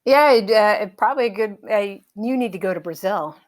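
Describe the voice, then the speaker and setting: A middle-aged American woman, a helicopter pilot, speaking as an interview guest in a relaxed, thoughtful way with many fillers and light laughs. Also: medium pitch, a little fast. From United States. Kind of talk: casual conversational interview.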